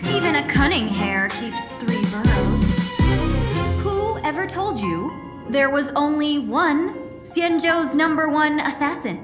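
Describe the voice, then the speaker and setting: A woman's voice: high-pitched, expressive, takes it slowly, roomy sound, over loud music. A woman voicing a sly, courtly fox lady in a video game, speaking with theatrical eloquence and a teasing manner. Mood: sly boasting. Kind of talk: dramatic video game voice acting.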